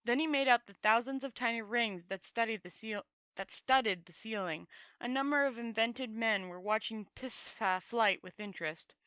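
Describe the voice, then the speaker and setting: Bright-voiced woman reading a story aloud, halting. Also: on the high side, dry recording. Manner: halting, often stumbling and correcting herself. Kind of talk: amateur read-aloud audiobook narration.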